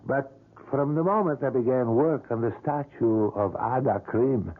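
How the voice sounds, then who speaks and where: A man's voice: mid-range voice, measured pace, lightly acted. Guy acting older obsessed sculptor man in an old radio play, super polite n pleading, thin tinny sound. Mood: obsessive, insistent. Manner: formal, effusive, pleading politeness. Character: obsessive, courteous older male sculptor, quietly insistent. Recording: tinny band-limited vintage radio recording.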